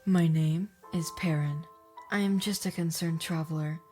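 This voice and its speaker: A woman's voice: low voice, fairly even intonation, moderate pace, mellow, faint music behind, natural voice. A woman playing a cold, ominous shadow mage with a formal, stern, menacing way of talking in a fantasy audio drama. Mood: grave warning.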